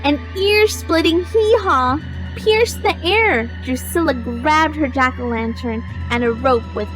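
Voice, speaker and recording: High-voiced woman reading a storybook, slowly. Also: fairly bright tone, soft background music, low-quality recording. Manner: dramatic, deliberate emphasis. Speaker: storybook reader. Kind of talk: expressive children's read-aloud narration.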